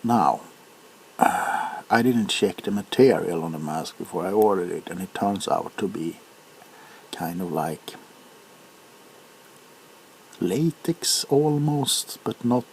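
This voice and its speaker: A man rambling casually for an ASMR video, with a halting, unhurried, hesitant way of talking. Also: low-pitched, animated, vocal fry, a little mellow, slight background noise, poor audio.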